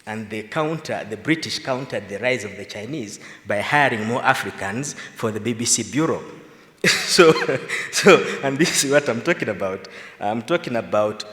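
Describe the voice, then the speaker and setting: A man's voice: mid-range voice, normal speed. A man speaking as a panelist, arguing his points with animation. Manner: animated, argumentative, laughs between points. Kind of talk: panel discussion remarks. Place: large conference hall. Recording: echoey conference hall with long reverb.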